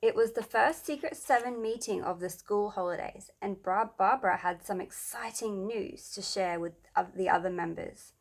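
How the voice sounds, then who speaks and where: Female narrator for children's audiobook, mid-pitched voice, reading scripted story prose. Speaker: storybook reader.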